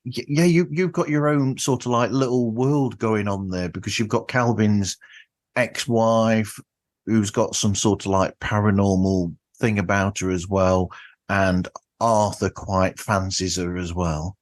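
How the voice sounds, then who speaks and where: Low-voiced male podcast host. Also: steady tone, measured pace. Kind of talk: casual interview.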